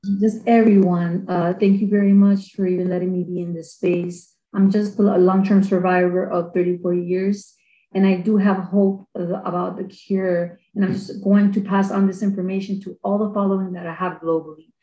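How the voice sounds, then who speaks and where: Woman, from Colombia, middle-aged, earnest, mellow tone, roomy echo. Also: fairly low pitch, flat delivery, lo-fi sound. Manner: earnest, quick bursts, many fillers. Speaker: activist asking a question. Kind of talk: webinar audience question. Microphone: video call. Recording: roomy echo on video call.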